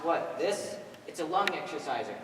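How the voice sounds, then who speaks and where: A teenage boy acts a cheeky, punning male comedian in a school stage play. His animated lines carry from far off in a reverberant, slightly noisy hall. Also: a little high, normal speed. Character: cheeky male straight-talking partner in comedy duo. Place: stage in a large hall, recorded from afar. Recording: echoey hall, distant microphone, background noise.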